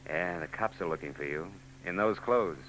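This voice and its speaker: An actor playing a dry, wisecracking, world-weary private detective in a 1940s radio drama, on an old broadcast recording with music. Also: male voice, low voice, moderate pace, a bit bright, a little hiss or hum, poor audio. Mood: wry. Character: wry, world-weary male private eye.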